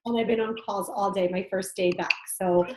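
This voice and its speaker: A hoarse woman recovering from strep throat, giving emphatic, self-assured motivational talk about network marketing on a conference call. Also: mid-range voice, fairly even intonation, moderate pace, reverberant, a little hiss or hum. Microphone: phone or computer on a conference call.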